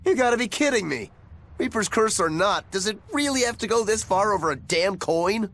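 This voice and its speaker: A man's voice: high-pitched, animated, brisk, fairly bright tone. A man voicing a breezy, roguish, encouraging young joker in a dubbed video game, with a casual, cajoling manner. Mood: exasperated. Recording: faint music behind the voice.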